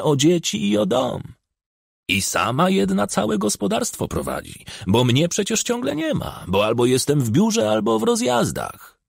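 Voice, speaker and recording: Man doing a pompous village official, pitched high. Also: gravelly, husky, no echo, clean studio sound, full character voice. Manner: argumentative, pompous, bragging. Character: shrewd, self-important middle-aged male village party secretary.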